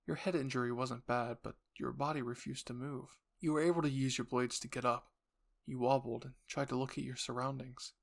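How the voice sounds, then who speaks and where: A man's voice: medium pitch, fairly even intonation, brisk, gravelly. A man narrating an audio roleplay story in the second person with an earnest, theatrical delivery.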